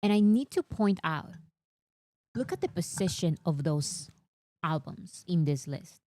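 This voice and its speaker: A young woman hosting a casual chat with a strong non-native English accent, talking in an excitable, slightly whiny way. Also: lowish voice. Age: young adult. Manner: excitable, whiny, talks fast about fandom news. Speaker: host.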